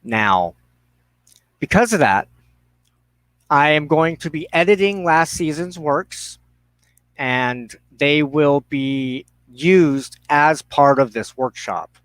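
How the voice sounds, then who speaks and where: Slow, deliberate man hosting a show. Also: on the high side, animated, fairly bright tone, no room sound, poor audio. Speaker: host of a religious broadcast show. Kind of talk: informal livestream introduction and announcements.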